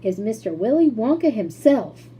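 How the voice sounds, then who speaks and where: A woman's voice: fairly high pitch, big pitch swings, moderate pace, warm tone, slight background noise. A woman voicing a well-meaning, astonished old grandfather in a storybook, earnest and exclamatory. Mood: reassuring.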